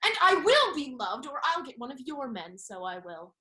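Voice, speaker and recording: A woman's voice: high voice, very animated, normal speed, sharp tone, reverberant, heavily acted. An actress playing a bold, witty young noblewoman in a Restoration comedy, with spirited, teasing emphasis. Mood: defiant, eager. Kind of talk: Restoration comedy stage acting.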